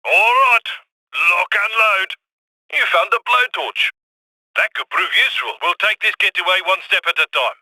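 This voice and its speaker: A man's voice: sits high, animated, moderate pace, bright, forceful tone, no room sound, full character voice, rough recording. A man playing an upbeat, wry heist planner who guides his crew over a radio link in a video game. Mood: upbeat urging. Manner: encouraging, wry, addresses crew as friends. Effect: radio comms filter. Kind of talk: video game voice acting, briefing.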